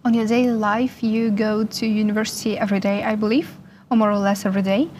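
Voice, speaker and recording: A woman's voice: medium pitch, steady tone, fast talker, darkish tone, slight background noise. A woman hosting an interview program, asking careful, friendly questions in non-native English. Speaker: interview host.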